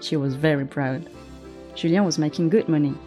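A woman narrating a story in a gentle, measured, scripted way. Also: deep voice. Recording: faint music underneath.

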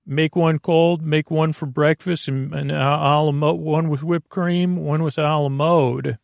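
Creaky-voiced man rambling haltingly. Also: on the high side, steady tone, darkish tone, no room sound. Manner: meandering, halting, stammering and trailing off into tangents. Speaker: podcast host. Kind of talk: rambling bedtime sleep podcast monologue.

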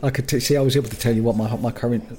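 A man with a medium-pitched voice co-hosts a podcast in an easy, jokey chat. He often trips over his words and restarts his sentences. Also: steady tone, very fast, darkish tone. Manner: chatty and jokey, with frequent stammered restarts. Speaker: podcast co-host. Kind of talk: casual chat.

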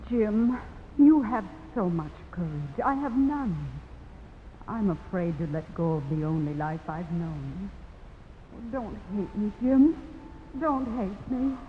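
A woman's voice: medium pitch, expressive, slow. A woman playing a wistful, fearful society lady in a 1950s radio melodrama, earnest in delivery. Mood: pleading, echoed memory. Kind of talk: 1950s radio drama acting. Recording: muffled, noisy old radio broadcast.

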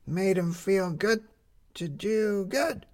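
A man's voice: sits high, takes it slowly, pitched above the performer's own voice. A man voicing eager, blunt street newspaper kids in a storybook, blurting out colloquial answers. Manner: hesitant then blurting, colloquial. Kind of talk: storybook character dialogue.

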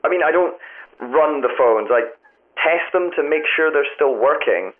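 An actor playing a hesitant, wry and affable phone tester in an audio drama, talking in a halting, bemused way through a telephone filter. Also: male voice, a little high, expressive. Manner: halting, vague, literal-minded, politely bemused. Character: hesitant, affable, wry man who tests the tower phones. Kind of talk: naturalistic audio drama dialogue. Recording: thin phone-line sound, music underneath.

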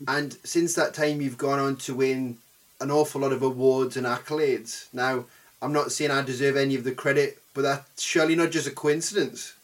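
A man's voice: medium pitch, steady tone, normal speed. A man hosting a podcast interview, asking questions in a wry, lightly teasing way. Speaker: podcast host.

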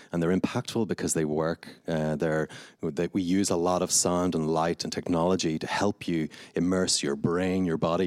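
A man's voice: low voice, no room sound, clean studio sound. A man with a Belfast accent, a meditation teacher speaking earnestly and passionately on a live panel, often restarting his phrases. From Northern Ireland. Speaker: meditation teacher and studio founder. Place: indoor event space before a live audience.

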